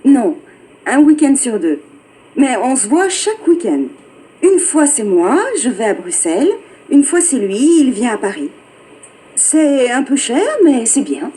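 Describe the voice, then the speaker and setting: A woman's voice: on the high side, very animated, measured pace, mellow, rough recording. An actress playing a friendly young Frenchwoman chatting on a train in a scripted language-course dialogue. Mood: friendly, chatty. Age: young adult. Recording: faint background noise and ambience.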